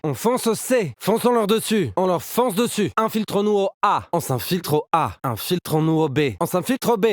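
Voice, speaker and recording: A cocky young male game hero with a fairly high, very lively voice. He talks like a party-loving musician rallying his squad, casual, slangy and playful. The sound is close and dry. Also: no room sound. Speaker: video game agent character. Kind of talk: game character voice lines.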